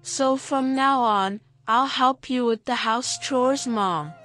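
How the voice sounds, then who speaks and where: A woman's voice: sits high, slow pace, fairly bright tone, soft background music. A woman voicing an eager, helpful young boy in a children's story, with an earnest, childlike manner.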